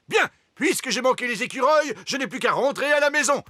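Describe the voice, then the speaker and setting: A man's voice: very high-pitched, animated, normal speed, bright, forceful tone, no room sound, studio quality, heavily acted. An actor playing a pompous, cheerful, naive middle-aged fairy king and father in a French cartoon, speaking in grand exclamations. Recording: music behind the voice.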